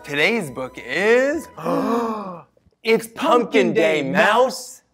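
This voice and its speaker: A man's voice: sits high, sing-song, extremely slow, a bit bright, slightly rough, soft background music. An American man in his thirties hosting a children's read-aloud show, bantering comically in an exaggerated, silly way. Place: small bright indoor studio set.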